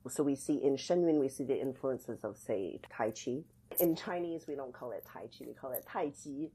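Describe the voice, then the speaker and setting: A woman's voice: very deep voice, expressive, normal speed, dark tone, poor audio. A middle-aged woman explaining things conversationally as an interview guest, thoughtful and searching for her words. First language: English. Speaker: podcast guest, dance academy artistic director.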